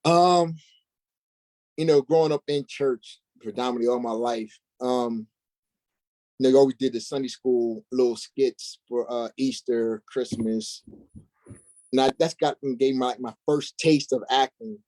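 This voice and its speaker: A man's voice: mid-range voice, fairly even intonation, measured pace, a little mellow, rough recording. A middle-aged man being interviewed as an actor, telling personal stories in an informal, slangy way. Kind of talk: casual personal storytelling.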